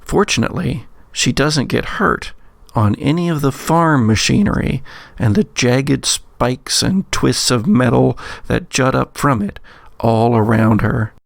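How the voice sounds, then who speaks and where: A man telling spooky stories as a host and game master, measured, with dramatic pauses and wry asides. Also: medium pitch, lively intonation, creaky, no echo, clean studio sound. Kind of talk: narrated spooky anecdote and game narration.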